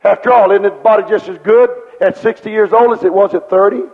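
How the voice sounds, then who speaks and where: A man's voice: high-pitched, a little fast. A man preaching a sermon with fervent rhetorical questions and repeated refrains. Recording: dull lo-fi recording with long hall reverb.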